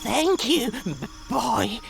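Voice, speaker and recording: A woman voicing a nervous forest creature in a video game, with a halting stutter, over nighttime insect ambience. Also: fairly high pitch, big pitch swings, gravelly, noisy background, full character voice. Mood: shaken, wary. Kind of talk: video game character acting.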